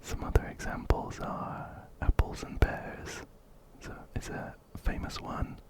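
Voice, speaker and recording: Male ASMR gamer. Close-mic breathy whisper throughout, slow and gentle, reading on-screen text and commenting softly. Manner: gentle, unhurried, repeats words softly. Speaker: ASMR game streamer. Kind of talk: whispered ASMR game playthrough and read-aloud. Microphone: close-up binaural microphone, ear to ear.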